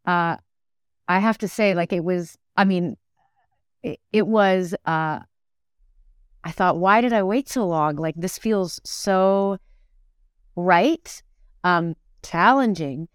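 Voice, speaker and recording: A woman's voice: fairly low pitch, slow pace, long pauses, smooth, no room sound, clean studio sound. A middle-aged woman talking casually and reflectively as an interview guest, searching for words and often checking in with "right?". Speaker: guest, actor, director and acting teacher.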